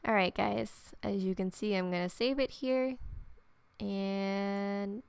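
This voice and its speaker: Chatty young woman with a gravelly edge. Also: mid-range voice, fairly even intonation, slow pace. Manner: chatty, reads aloud and reacts with playful asides. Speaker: gaming streamer. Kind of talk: casual let's-play commentary.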